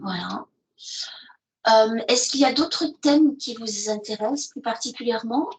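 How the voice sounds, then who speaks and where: A woman's voice: a little high, measured pace, faint music behind, poor audio. A woman presenting educational resources in an online session, hesitant with many fillers. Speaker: presenter of an educational video platform. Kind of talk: webinar presentation with screen sharing.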